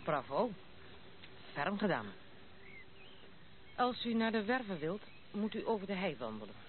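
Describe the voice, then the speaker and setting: A woman's voice: mid-range voice, sing-song, a little slow. An actress playing a proud, outspoken young noblewoman in a Dutch radio play, with a brusque, mocking manner. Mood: brusque, wary. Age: 20s. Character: blunt, proud, unconventional young noblewoman of twenty-six. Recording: tinny band-limited old radio play recording, noisy.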